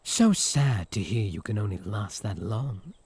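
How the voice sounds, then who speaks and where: British man as teasing yandere incubus: unhurried, sly, sarcastic, swooping delivery, close dry sound. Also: lowish voice, animated, a little mellow. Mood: smug, taunting.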